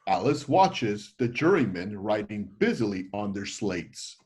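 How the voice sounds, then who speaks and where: A man's voice: fairly low pitch, big pitch swings, a little slow, darkish tone. A man narrating the scenes of a classic story as scripted stage directions.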